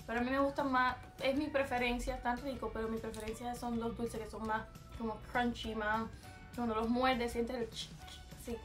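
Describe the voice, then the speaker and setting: A young woman speaks in a chatty Cuban Spanish accent and sits slightly high in her range. She talks casually to a camera at desk distance in a small bedroom and drops English words in here and there. Soft music plays faintly underneath. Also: flat delivery, normal speed. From Cuba. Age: young adult. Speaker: vlogger. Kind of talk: casual vlog, taste test.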